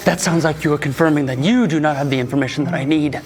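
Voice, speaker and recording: A man's voice: on the high side, fairly even intonation, quick pace, a little mellow, faint music behind, lightly acted. A man voicing a cold, dangerous duke who speaks with sardonic menace in an audio drama. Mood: menacing.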